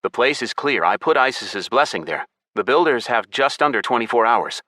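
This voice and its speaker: A man's voice: mid-range voice, animated, moderate pace, sharp tone, dry recording, pitched above the performer's own voice. A man voicing a serious, decisive young gamer hero in an earnest, firm manner. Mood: firm, urgent.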